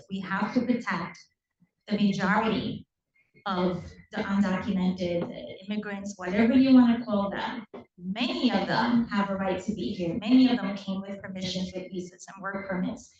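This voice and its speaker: A woman's voice: mid-range voice, a little mellow. Earnest woman in an echoey chamber. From Puerto Rico. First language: Spanish. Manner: earnest, personal, with frequent ums. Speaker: council member. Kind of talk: council meeting statement. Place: council chamber. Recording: echoey chamber, distant dull mic.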